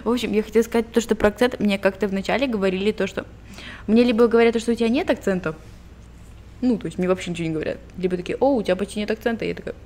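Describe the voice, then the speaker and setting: A young woman from Belarus co-hosting a casual podcast, chatty and quick to laugh, mixing English words into her speech. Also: medium pitch, darkish tone. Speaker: podcast co-host. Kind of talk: casual chat.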